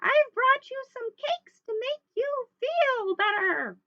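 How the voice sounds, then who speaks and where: A woman voicing a storybook wolf who imitates a dainty little girl in a squeaky, exaggerated falsetto. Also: expressive, slow, bright, forceful tone, no room sound, lo-fi sound, full character voice. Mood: sugary, deceitful.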